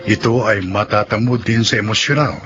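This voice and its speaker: A man's voice: fairly low pitch, moderate pace, muffled recording, over music. A man with a Filipino accent hosting a drama program, delivering a scripted moral reflection. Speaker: host.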